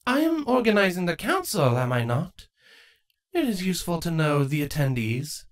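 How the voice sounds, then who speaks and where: A man's voice: a little high, very animated, moderate pace, pitched above the performer's own voice. A man voicing an ancient, scheming changeling queen, smug and taunting with false sweetness, in a dramatic fan fiction reading. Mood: smug, probing.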